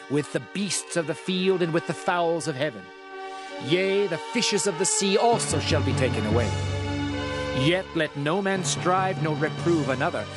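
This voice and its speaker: A man narrating scripture in a dramatized style, with a solemn, declamatory delivery as a prophet. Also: on the high side. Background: music.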